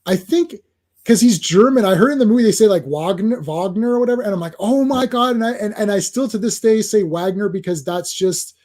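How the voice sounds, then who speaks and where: A man's voice: sits high, brisk, a little mellow. A man hosting a casual comics livestream, chatting through a comic and greeting viewers in the chat.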